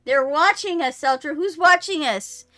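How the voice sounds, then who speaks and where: Bright, high-voiced young woman chatting over a game. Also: normal speed, no room sound, clean studio sound. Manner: blunt, playful interjections. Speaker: streamer reacting to a game. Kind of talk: casual chat and reactions. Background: soft background game music.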